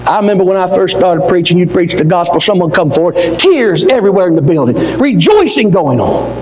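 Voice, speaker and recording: Older man preaching a sermon from the pulpit, high and animated, with room echo behind him. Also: slight background noise. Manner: impassioned, rising to near-shouts, dropping low and slow. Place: church auditorium. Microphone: pulpit mic, wide far camera. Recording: echoey hall with long reverb.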